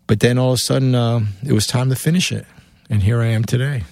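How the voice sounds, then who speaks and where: A man's voice: medium pitch, steady tone, fast, vocal fry, darkish tone, dry recording, clean studio sound. A middle-aged man from Cuba with a Cuban accent, telling rambling stories as an interview guest and acting out what people said. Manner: rambling, acts out quoted dialogue. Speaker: guest musician. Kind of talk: casual storytelling interview.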